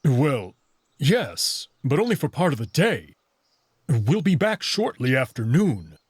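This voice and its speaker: A man's voice: medium pitch, sing-song, takes it slowly, breathy edge, dry recording, exaggerated voice. A man voicing an eager, easygoing, slightly impatient male wolf in a visual novel, informal and breezy. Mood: uneasy, placating.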